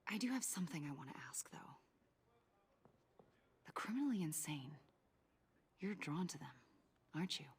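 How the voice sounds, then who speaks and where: An actress playing a shrewd, probing government agent in a video game, with a husky, breathy American voice. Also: female voice, sits low, animated, moderate pace, long pauses, exaggerated voice. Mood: curious, probing.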